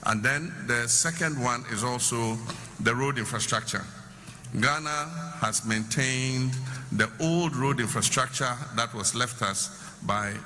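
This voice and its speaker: A man, a government official, giving measured, explanatory answers at a public question session. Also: medium pitch, sharp tone. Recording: noisy hall with long reverb.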